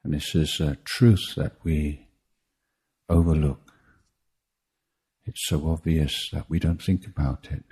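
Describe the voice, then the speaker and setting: A man teaching meditation in a guided talk, speaking in measured short phrases with deliberate pauses and a husky voice. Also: a bass voice, steady tone, darkish tone. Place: meditation retreat.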